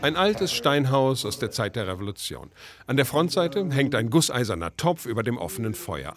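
A male radio reporter narrates a scripted documentary in native German. His pitch is mid-range, and his intonation moves up and down with great liveliness. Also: fairly bright tone.

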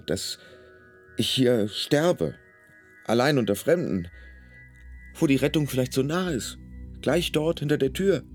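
A man's voice: mid-range voice, a little mellow, natural voice. An actor in a radio play voicing an anxious man brooding over death, in a hesitant, introspective inner monologue. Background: faint music.

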